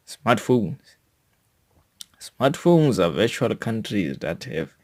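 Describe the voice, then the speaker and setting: A South African man reading a scripted educational monologue in a deliberate, formal manner, as a podcast host. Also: on the low side, darkish tone.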